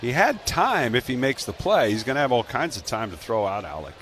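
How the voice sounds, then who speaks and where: A man's voice: mid-range voice, big pitch swings, brisk, fairly bright tone, slight background noise. An American man giving live baseball radio commentary and reading promos. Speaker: baseball radio play-by-play announcer and analyst.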